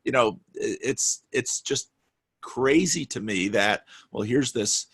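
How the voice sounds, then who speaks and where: Man, husky voice, earnest, storytelling, restarts a lot. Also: medium pitch, slow pace, fairly bright tone. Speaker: guest, meetup organizer. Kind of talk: casual conversation.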